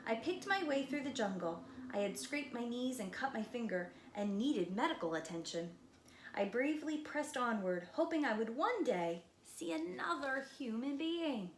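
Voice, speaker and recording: A woman preschool teacher reading a picture book aloud expressively, with dramatic slowed emphasis and hushed moments. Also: fairly high pitch, very animated. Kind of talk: expressive children's read-aloud. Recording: roomy echo, light background noise.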